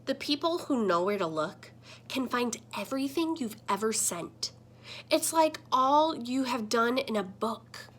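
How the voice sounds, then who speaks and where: A woman's voice: on the high side, expressive, a little slow, a bit bright, slight background noise. A woman voicing a talking computer screen in a children's picture book, a protective mentor who admonishes and instructs emphatically. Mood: stern warning.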